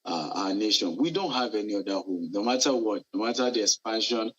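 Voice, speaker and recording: A man's voice: lowish voice, expressive, brisk, fairly bright tone, slightly rough, highs cut off. A man from Nigeria with a Nigerian accent, an entrepreneur guest talking earnestly in a live TV interview, with frequent restarts and fillers. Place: Dubai.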